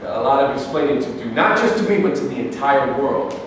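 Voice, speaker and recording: A man's voice: mid-range voice, animated, brisk, natural voice. A man playing an indignant, accusing dispossessed Palestinian in a declamatory staged reading of a play. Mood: indignant, argumentative. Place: reverberant indoor hall, staged reading. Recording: echoey hall from far off, hissy.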